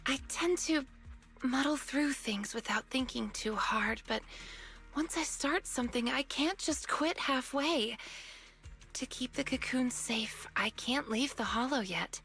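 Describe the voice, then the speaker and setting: A woman playing a shy but determined young girl in a video game, soft-spoken and hesitant. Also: on the high side, a little slow, soft background music. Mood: quietly determined.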